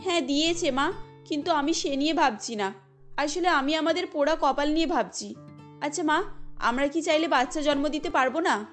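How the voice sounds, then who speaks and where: Casting: female narrator as troubled young hijra in a cartoon, high voice, hesitant questioning delivery, music behind. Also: normal speed, lightly acted. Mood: sorrowful. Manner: plaintive, questioning. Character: sad, hesitant young hijra longing for motherhood. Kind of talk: cartoon story dialogue.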